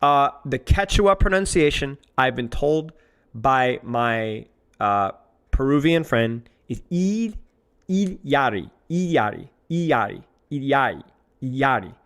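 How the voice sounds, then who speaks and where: A man's voice: medium pitch, animated, slow, a bit bright. A man streaming live, chatting casually to his viewers in an exasperated, self-mocking, rambling way.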